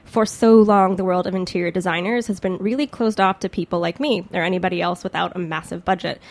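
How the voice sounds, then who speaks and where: A woman's voice: lowish voice, fairly even intonation, fast talker, a little mellow. Enthusiastic American woman hosting a podcast. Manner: enthusiastic, chatty. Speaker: podcast host.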